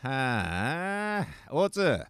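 A man's voice: mid-range voice, big pitch swings, extremely slow, a bit bright, clean tone, no echo, studio quality, exaggerated voice. A man acting in a comic radio drama as a lecherous, childish middle-aged police detective, whiny and theatrical in his outbursts. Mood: bored.

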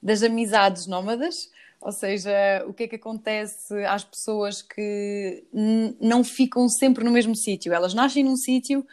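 Woman, podcast host, chatty interviewer, conversational, mid-range pitch. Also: steady tone, measured pace, a little mellow. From Switzerland.

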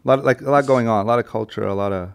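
A man's voice: medium pitch, racing, creaky, clean tone, no echo. A man hosting an interview podcast, talking in an eager, rambling way with lots of fillers and restarts. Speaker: podcast host. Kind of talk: casual interview chat.

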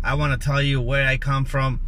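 A man's voice: mid-range voice, level tone, fairly quick, bright, forceful tone, slight background noise. A young man from Chile with a Chilean Spanish accent, a native Spanish speaker hosting his own podcast in an earnest, casual monologue full of fillers and restarts. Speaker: podcast host.

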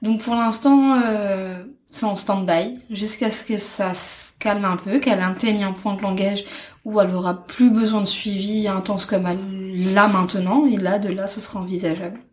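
A young woman in her twenties talks through her experience as an interview guest. Her delivery is halting and reflective, with sentences that trail off and restart. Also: medium pitch, fairly even intonation. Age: 20s. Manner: halting, thoughtful, trails off with soft fillers. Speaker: interview guest, stay-at-home mother. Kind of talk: casual personal testimony.

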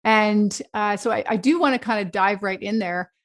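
A woman's voice: medium pitch, normal speed. Woman hosting a podcast. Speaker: podcast host. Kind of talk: scripted intro then casual interview.

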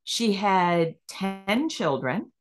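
A middle-aged woman guest on a podcast takes her time, speaking at an unhurried pace. Her voice sits in a middle register. She thinks out loud and breaks into easy laughter. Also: mid-range voice, very animated. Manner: reflective, acts out inner questions, laughs easily. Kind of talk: conversational interview.

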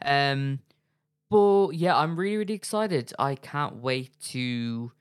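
A man's voice: medium pitch, expressive, takes it slowly, clean tone, no echo, studio quality. An Irish man co-hosting a podcast, chatting casually with many fillers and frequent laughs. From Ireland. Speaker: co-host.

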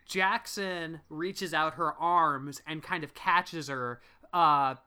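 A man with a Midwestern American accent and a bright, sharp voice. He jumps in with nerdy explanations built on wrestling moves, in loose podcast banter. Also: a little high, steady tone, takes it slowly, smooth. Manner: nerdy, fond of wrestling references, breaks into explanations. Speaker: podcast co-host. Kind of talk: casual comedic chat.

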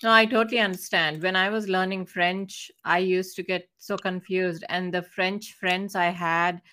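A woman with an Indian accent talks as a guest on an interview podcast. Her voice is a little low for a woman. She speaks casually, with a polite and appreciative manner. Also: steady tone, moderate pace, bright, forceful tone.

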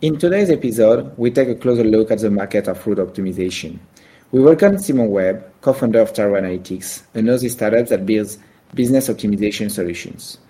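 A man co-hosting a podcast who introduces the guest and puts questions to him in a lively way. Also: lowish voice, fast. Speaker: podcast co-host.

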